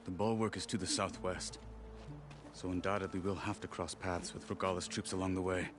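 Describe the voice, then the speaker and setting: Male actor as grim warrior, very low voice, terse, vengeful, deadpan, video game dialogue, music behind. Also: fairly quick, lightly acted. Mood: grim, resolute. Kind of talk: video game character acting.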